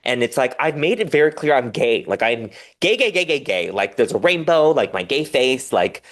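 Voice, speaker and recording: A man talking casually and candidly as a podcast guest, animated and rambling, with self-deprecating humor. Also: medium pitch, moderate pace. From diaspora Korean, raised in the West.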